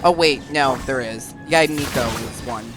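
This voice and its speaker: Fairly high-voiced man commentating over game music. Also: moderate pace. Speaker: gaming video host. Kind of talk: casual game playthrough commentary.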